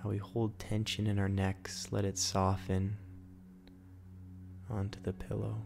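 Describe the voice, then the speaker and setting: A man hosting a guided meditation before sleep, speaking gently and without hurry in a soothing, instructional way. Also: on the low side, completely flat delivery, a little slow, creaky, faint music behind.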